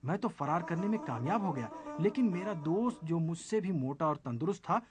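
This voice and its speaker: A man's voice: on the high side, expressive, brisk, music in the background, natural voice. A man voicing a clever, bold young rabbit in a children's story, speaking in a composed, persuasive and humble manner. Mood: humble, explaining.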